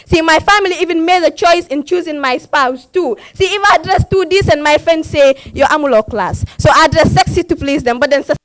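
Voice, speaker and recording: A woman's voice: sits high, brisk, hardly any pauses, fairly bright tone, dry recording. A young woman performing spoken word poetry at a slam, impassioned, with a repeated refrain and rising emphasis. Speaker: slam poet.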